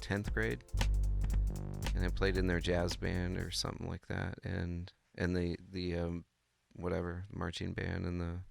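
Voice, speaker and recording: Very gravelly, slow, flat-toned man. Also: low-pitched, over loud music. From Washington State. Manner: rambling, searching for words, many fillers. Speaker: guitarist, interview guest. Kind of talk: casual interview chat.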